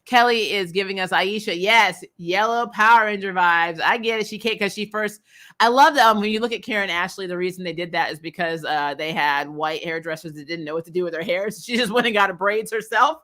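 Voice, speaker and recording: An American woman hosting a casual recap show, chatting in a blunt, animated, opinionated way with informal language. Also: mid-range voice, a little fast. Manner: animated, frequently laughing, blunt and informal with mild swearing. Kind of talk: casual opinionated fan chat, reading and answering comments.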